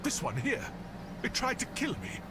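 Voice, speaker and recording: An actor playing a cursed, anxious would-be knight in a video game, speaking with a gloomy, doom-laden delivery. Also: male voice, very high voice, huge pitch swings, normal speed, pushed and bright, exaggerated voice. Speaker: game character. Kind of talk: voiced game dialogue. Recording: game audio with clear background noise.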